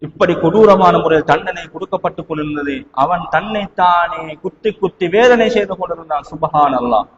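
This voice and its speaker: High-pitched male preacher in reverberant hall. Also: a little fast, hardly any pauses, dull audio. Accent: Sri Lankan Tamil. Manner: rhetorical questions, emphatic repetition, swinging between hushed and raised delivery. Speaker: Islamic preacher. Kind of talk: impassioned mosque sermon in Tamil with Arabic quotations. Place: large echoing mosque hall. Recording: long hall reverb, noticeable background noise.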